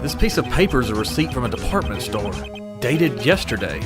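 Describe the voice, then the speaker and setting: Earnest, jumpy young male lawyer played by a man, widely swinging pitch, dramatic game dub, music underneath. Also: sing-song, brisk, lightly acted. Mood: pensive. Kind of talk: dramatic game fandub, inner monologue and dialogue.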